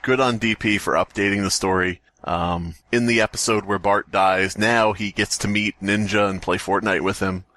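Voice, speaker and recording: A man's voice: on the low side, fairly even intonation, normal speed, few pauses, bright, forceful tone, no room sound. A man hosting a comedy podcast, talking in a sarcastic, exasperated way. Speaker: podcast host. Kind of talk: comedic podcast chat and sketch narration.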